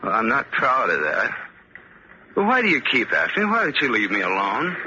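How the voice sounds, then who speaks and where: An actor playing a troubled, guilt-ridden young stowaway in an old radio drama, confessional and halting. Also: male voice, medium pitch, wildly swooping pitch, slight background noise, full character voice. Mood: guarded, pleading. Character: troubled young male stowaway, guilt-haunted, guarded and meek. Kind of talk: 1940s radio drama acting, first-person narration and dialogue. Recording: muffled old radio recording, loud music behind.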